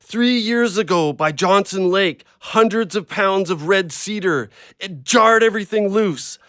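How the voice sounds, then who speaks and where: An actor playing an agitated, hard-up injured ex-logger who rambles bluntly in an audio play. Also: male voice, high-pitched, measured pace, fairly bright tone, dry recording, clean studio sound. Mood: agitated, pleading. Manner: blunt, rambling, plain-spoken.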